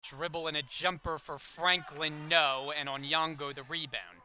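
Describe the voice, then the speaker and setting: Bright, high-pitched man calling basketball play-by-play. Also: steady tone, moderate pace. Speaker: sports commentator. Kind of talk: live basketball play-by-play commentary. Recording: faint gym noise, bouncing basketballs. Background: basketball game ambience.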